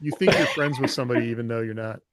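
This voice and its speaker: A man's voice: medium pitch, racing, crowd noise. A man hosting a podcast, putting questions to the group in a casual, drily joking way. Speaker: podcast host reading listener questions. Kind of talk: casual chat.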